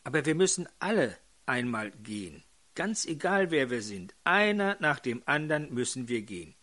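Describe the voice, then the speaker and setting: An older man preaching a sermon in a hoarse voice, putting questions to his congregation. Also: medium pitch, big pitch swings, moderate pace, pushed and bright.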